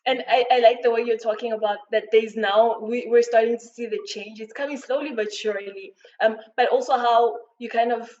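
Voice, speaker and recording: A woman's voice: a little high, fairly even intonation, brisk, hardly any pauses, a little mellow, highs cut off. A woman hosting a panel conversation, friendly and admiring, asking long, rambling questions. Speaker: host.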